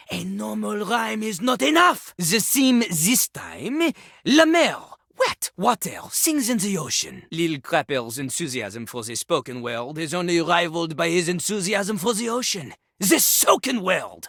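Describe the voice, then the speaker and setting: A man's voice: high-pitched, gravelly, fairly bright tone, dry recording, studio quality, full character voice. A man voicing a pompous French toilet who fancies himself a master rapper in a video game, haughty and dramatic with a French accent. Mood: grandly pompous. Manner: haughty, dramatic, speaks of himself in third person. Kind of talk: exaggerated video game character voice acting.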